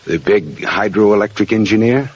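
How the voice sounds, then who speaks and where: Hardboiled American private eye, deep voice, sardonic, clipped wisecracks, muffled vintage radio sound. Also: male voice, lively intonation, normal speed, slightly rough. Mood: dry, wry. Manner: sardonic, clipped, dry delivery. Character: wisecracking, world-weary hardboiled male private detective. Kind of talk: mid-century radio drama acting. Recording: muffled, hissy old radio recording.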